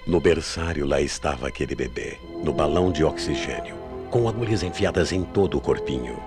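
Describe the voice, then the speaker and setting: A man's voice: rumbling low, a little fast, over music. A man with a Brazilian accent giving solemn, suspenseful scripted narration for radio mystery tales.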